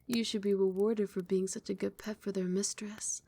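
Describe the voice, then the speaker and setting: A woman voicing a doting, possessive vampire queen in an ASMR roleplay, speaking softly and breathily, often dropping into a whisper, with tender, regal endearments. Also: medium pitch, level tone, normal speed, a little hiss or hum, lightly acted. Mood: intimate, praising. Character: doting, possessive vampire queen soothing her beloved pet.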